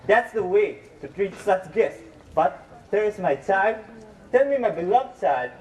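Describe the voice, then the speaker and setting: A man's voice: high voice, animated, measured pace, a little mellow. A man playing an aging, put-upon father in an amateur stage play, bitter and self-pitying, with stilted, declamatory delivery. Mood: accusing. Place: school stage performance, recorded from a distance. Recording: distant mic, noisy hall recording.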